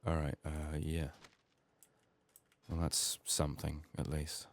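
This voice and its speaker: A soft-spoken man with a sick, strained voice, muttering downbeat, sweary ASMR commentary as he plays a video game. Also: a bass voice, level tone, slow, vocal fry.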